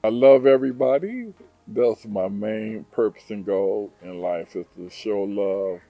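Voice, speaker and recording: A man from Detroit, a hotel owner, answering interview questions in an earnest, unhurried way with frequent fillers. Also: on the low side, no room sound, lo-fi sound, faint music behind. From Detroit, USA. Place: hotel in Zanzibar.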